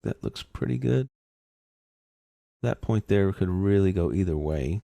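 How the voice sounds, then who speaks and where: Very deep, creaky-voiced man. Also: animated, measured pace, mellow, no echo. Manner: explanatory, informal, thinking aloud. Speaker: software tutorial instructor. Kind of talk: step-by-step screen tutorial narration, casual.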